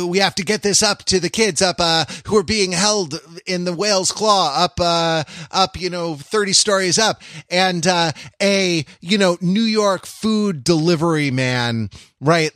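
A man speaks as a podcast co-host in casual film discussion. His voice sits a little high and he circles back on his phrases in a halting, rambling flow. The sound is dry, with no room around it. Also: a bit bright, no echo.

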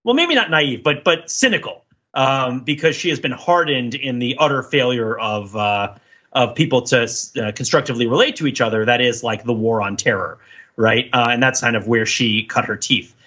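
A man with a husky voice hosting a podcast, casually analyzing things in a discursive way and often checking in with a "right?". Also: medium pitch, normal speed, bright, forceful tone. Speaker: podcast host. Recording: slightly dull, lo-fi sound.

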